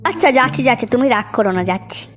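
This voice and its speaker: A man voicing an eager, obliging young male sidekick in an amateur comedy cartoon, deferential and chatty, with a raised-pitch effect. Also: racing, fairly bright tone, faint music behind.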